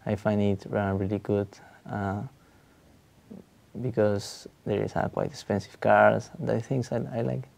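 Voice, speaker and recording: Young peruvian guy, gravelly and mellow, halting exam answers. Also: on the low side, little pitch movement. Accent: Peruvian Spanish. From Peru. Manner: halting, searching for words. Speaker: exam candidate.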